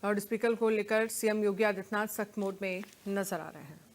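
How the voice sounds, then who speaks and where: A woman's voice: mid-range voice, fairly quick, a little hiss or hum. A woman, presenting live news and putting questions to a reporter as anchor.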